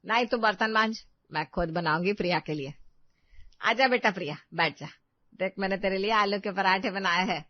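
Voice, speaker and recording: A woman's voice: medium pitch, moderate pace, no echo, highs cut off, natural voice. A woman voicing a harsh, bossy older mother-in-law with a commanding manner in a family drama story. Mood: doting. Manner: scolding, commanding.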